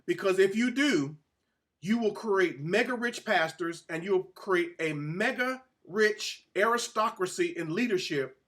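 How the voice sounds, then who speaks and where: A man's voice: high voice, lively intonation, a little slow, fairly bright tone. A man giving a religious teaching talk as host and author, reading excerpts and addressing listeners directly in an emphatic, instructive way.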